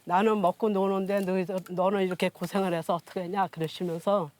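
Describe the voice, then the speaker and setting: A woman's voice: mid-range voice, little pitch movement, quick pace, darkish tone, slight background noise. A woman in her sixties telling personal stories as an interview guest, with plain, homely, unpolished speech. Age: 60s. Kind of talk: personal storytelling in conversation. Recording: music behind the voice.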